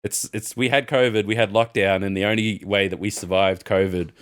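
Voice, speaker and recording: A man's voice: lowish voice, fairly quick, clear, resonant tone, no room sound, studio quality. A young man co-hosting a casual podcast, telling rambling stories with lots of laughter. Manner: rambling, animated storyteller, frequent laughs. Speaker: podcast co-host. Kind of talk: casual chat.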